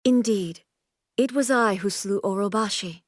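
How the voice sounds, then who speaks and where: A woman's voice: mid-range voice, no room sound, studio quality, close to an everyday voice. A woman playing a stern, regal deity ruler in a video game, speaking in a formal, composed, stately way. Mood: solemn, commanding. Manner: formal, stately, measured delivery. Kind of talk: scripted video game character voice lines.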